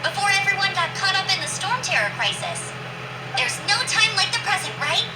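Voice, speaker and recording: High-voiced perky young scout, echoey. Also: female voice, sing-song, a little fast, exaggerated voice. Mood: earnest, friendly. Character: perky, earnest young female scout knight. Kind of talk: video game voice acting. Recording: echoey, distant, very noisy game capture.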